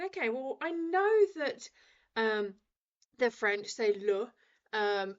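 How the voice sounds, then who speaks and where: A woman radio host in her forties, chatting casually and ranting with animated, laughing energy. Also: on the high side, muffled recording. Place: radio studio.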